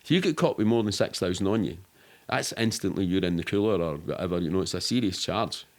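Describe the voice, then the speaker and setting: A man's voice: deep voice, expressive, fast talker. A middle-aged Scottish man telling stories casually as an interview guest, rambling and sweary in a matter-of-fact way. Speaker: podcast guest.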